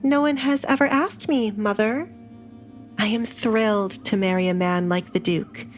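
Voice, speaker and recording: A woman's voice: medium pitch, a little slow, soft background music, voice pushed up, close to an everyday voice. A woman voicing a young noblewoman in a romance audio drama, politely sweet with a pointed, taunting edge. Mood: sweet, provoking.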